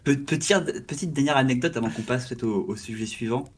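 A man's voice: medium pitch, fast talker. A man co-hosting a gaming podcast in casual chat, often restarting his sentences and steering the discussion along. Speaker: podcast co-host.

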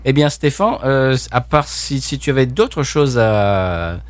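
A man hosting a podcast, chatting casually and unscripted, relaxed and hesitant, laughing easily. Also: medium pitch, slow pace, no echo. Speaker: podcast host.

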